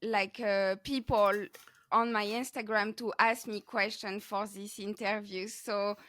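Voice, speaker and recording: A woman's voice: medium pitch, fairly even intonation, unhurried, a bit bright. A woman from France with a French accent chatting casually as a podcast host being interviewed. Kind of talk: casual conversational interview chat.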